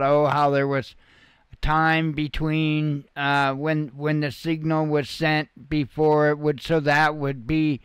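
A man's voice: fairly high pitch, a bit bright, smooth, no room sound. A man giving informal news commentary as a channel host, explaining things in a folksy, plain-spoken way.

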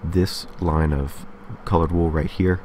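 A man's voice: low voice, fairly even intonation, slow, darkish tone, a little hiss or hum. A man hosting a casual game building tutorial, explaining methodically step by step and often checking in with a short okay. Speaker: tutorial host.

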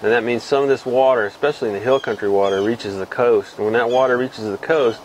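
A man's voice: mid-range voice, steady tone, fast talker, a little hiss or hum, low-quality recording. A middle-aged man from Texas hosting a casual fishing report to camera outdoors, rambling with many fillers and restarts. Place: outdoors in front of a cluttered shed wall.

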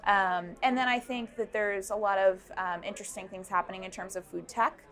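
A woman's voice: mid-range voice, fairly even intonation, brisk, a bit bright. A young woman, a founder, answering interview questions at an indoor event with a lapel mic, thoughtful and full of ums and restarts. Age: young adult. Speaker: startup founder. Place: busy indoor networking event.

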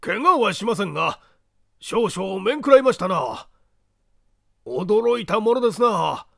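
A man voicing an earnest, puzzled gentleman in an audiobook, formal, deferential and questioning. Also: sits high, very animated, measured pace, creaky, no room sound, clean studio sound, full character voice. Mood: flustered, amazed. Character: earnest, puzzled gentleman, polite and easily flustered. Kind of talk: audiobook character dialogue.

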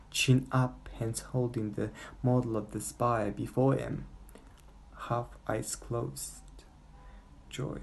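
A man's voice: medium pitch, gravelly, darkish tone. A young man reading literary prose aloud. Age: young adult.

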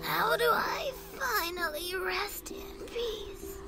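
A woman acts out a kindly, frail old pony in a story. The voice is high and creaky, drawn out very slowly, gentle and close to tears, with loud music behind. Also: expressive, exaggerated voice. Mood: resigned.

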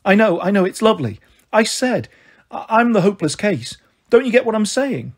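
Woman acting a husky, tearful British mother, higher-pitched. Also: animated, fairly quick, a little mellow. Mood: distressed, apologetic. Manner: gloomy, emotional, pleading. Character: tearful, self-pitying, flighty thirty-three-year-old mother.

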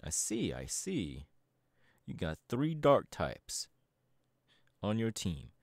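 A cocky young DJ gang boss, voiced by a man, bragging straight at the listener in an audio roleplay. His voice bounces all over the place in pitch, and the recording is dry and close with no room sound. He talks with a relaxed, swaggering confidence. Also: slow pace, creaky, dry recording, full character voice. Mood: boastful. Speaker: Team Star leader in a roleplay.

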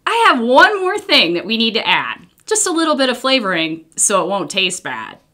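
A woman's voice: on the high side, big pitch swings, normal speed, bright, forceful tone, heavily acted. An American woman voicing a cheerful, caring pharmacist in a children's storybook, upbeat and instructive. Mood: friendly.

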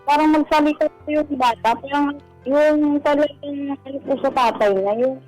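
A Filipino woman calling in for advice, telling her problem in a polite, deferential and halting way. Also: high-pitched, darkish tone, soft background music, low-quality recording. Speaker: caller seeking advice. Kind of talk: hesitant personal account in conversation.

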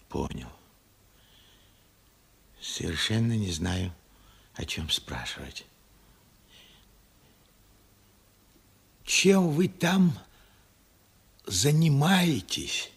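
Radio play: forgetful, wistful man in his late seventies, gravelly, slow, searching delivery. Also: on the low side, fairly bright tone, heavily acted. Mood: confused, musing. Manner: halting, musing, swinging from murmurs to outbursts. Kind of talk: Soviet radio play acting.

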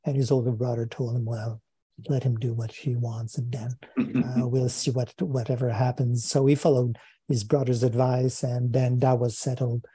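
Older French-Canadian man, husky and dark. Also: mid-range voice, flat delivery, no room sound. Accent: Quebec French. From Quebec, Canada. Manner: thoughtful, halting, repeats words while searching. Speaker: guest philosopher. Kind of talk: unscripted interview answer. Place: home study with bookshelves. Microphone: earphone mic on video call.

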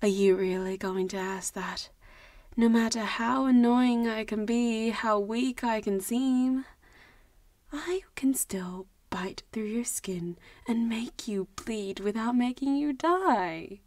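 Woman acting a slow-talking, teasing vampire schoolgirl. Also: a little high, darkish tone, no echo. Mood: playfully menacing. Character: young-seeming 164-year-old vampire schoolgirl, teasing, haughty yet protective. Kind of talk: solo roleplay audio drama.

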